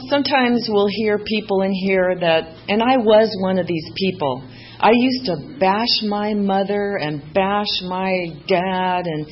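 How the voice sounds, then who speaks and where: A woman's voice: medium pitch, lo-fi sound, a little hiss or hum. A woman raised in Mexico, a native Spanish speaker, giving a candid personal testimony as main speaker at a recovery meeting, with self-deprecating humor and many fillers.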